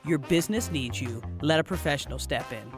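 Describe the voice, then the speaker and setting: Female ad voice: bossy comic scolding, very lively swoops, higher pitch, music bed. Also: brisk. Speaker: web designer advertising own services. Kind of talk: conversational ad read.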